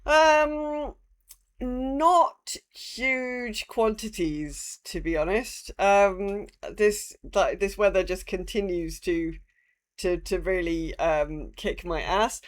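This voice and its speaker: A woman's voice: medium pitch, takes it slowly. A woman co-hosting a podcast in casual gaming chat, feeling her way through sentences and swearing freely. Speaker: podcast co-host.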